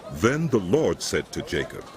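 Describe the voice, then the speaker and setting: A man's voice: sits low, very animated, measured pace, some chatter behind. A man giving scripted narration for a dramatized audio Bible.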